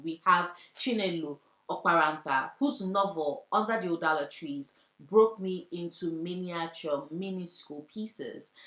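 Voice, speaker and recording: Young woman from Africa, book vlogger, lowish voice, heartfelt and earnest, roomy echo. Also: fairly bright tone. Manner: earnest, heartfelt, with poetic repetition. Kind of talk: book recommendation vlog.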